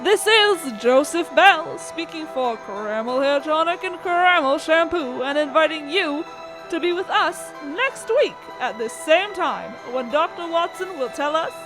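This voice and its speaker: A woman's voice: sits high, expressive, unhurried, music in the background, rough recording. A woman playing a courteous old-time radio announcer and sponsor's spokesman.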